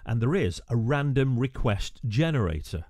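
A man's voice: mid-range voice, big pitch swings, moderate pace, darkish tone, dry recording, studio quality. A male radio presenter talking through a screen-recorded tutorial, chatty with wry asides and frequent "uh" fillers.

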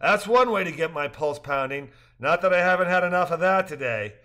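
A boisterous, joking older man, as a male storyteller puts him on in a story reading. He teases in a raised, carrying voice that sits high. Every line comes out loud and playful. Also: animated, brisk. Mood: teasing. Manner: loud, exclaiming, teasing.

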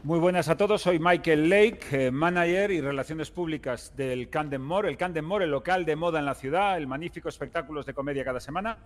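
A man's voice: fairly high pitch, a little fast, hardly any pauses, in a large hall, natural voice. A man playing a pompous, melodramatic nightclub manager who swears, in improvised online roleplay. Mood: solemn, wounded. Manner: theatrical, swearing. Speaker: roleplay gamer.

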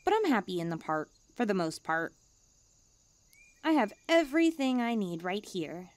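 A woman's voice: mid-range voice, sing-song, moderate pace, dry recording, close to an everyday voice. A woman voicing a cute, self-important young stray catgirl in a languid ASMR roleplay. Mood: breezy, smug. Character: cute, smug, pampered, drowsy young female stray catgirl.

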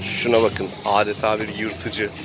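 A man's voice: on the low side, completely flat delivery, measured pace, music in the background. A man casually improvising narration as he plays a role-playing game.

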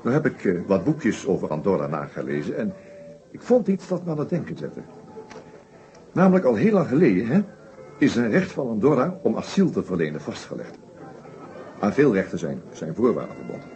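An actor playing a shrewd, methodical detective inspector in a Dutch radio play, explaining his case deliberately with small hesitations. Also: fairly low pitch, big pitch swings, dark tone, slight background noise. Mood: explaining, confident. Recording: dull old radio play recording.

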